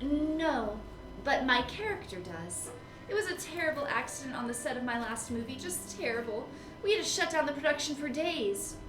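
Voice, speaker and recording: Woman playing a high-voiced, flighty, vain film starlet. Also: animated, moderate pace, background noise. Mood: wistful. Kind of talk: comic noir audio drama acting. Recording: roomy echo, music underneath.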